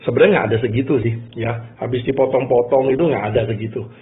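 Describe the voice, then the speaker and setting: A man's voice: mid-range voice, fairly even intonation, quick pace. A man from Malaysia presents in an informal, conversational way, telling his own story. He keeps checking in with listeners with short tag questions. The sound is narrow and muffled, like a phone call. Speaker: business presenter. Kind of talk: informal motivational talk telling a personal story.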